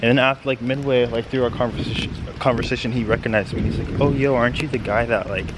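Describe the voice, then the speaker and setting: A man's voice: mid-range voice, fairly even intonation, quick pace. A young American man from the United States vlogging as a study-abroad student, talking casually and rambling with lots of fillers while walking outdoors on city streets. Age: young adult. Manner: rambling, filler-heavy, chatty. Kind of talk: casual vlog narration. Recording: street noise behind.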